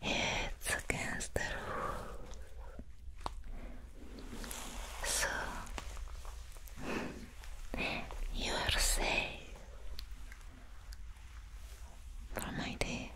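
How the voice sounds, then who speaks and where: A young woman playing a soothing, mysterious female vampire nurse in an ASMR roleplay, in a breathy whisper close to the microphone. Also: close to an everyday voice. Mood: calm, possessive. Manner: slow, soothing, drawn-out phrasing. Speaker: ASMR performer. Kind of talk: ASMR roleplay, personal attention.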